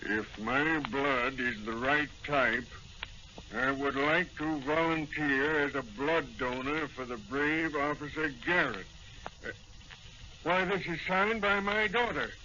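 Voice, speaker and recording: Bright, forceful police commissioner. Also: male voice, fairly high pitch, slow. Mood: concerned, fond. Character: gruff but fond police commissioner and father. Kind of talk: 1940s radio acting. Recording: noisy, muffled old-time radio recording.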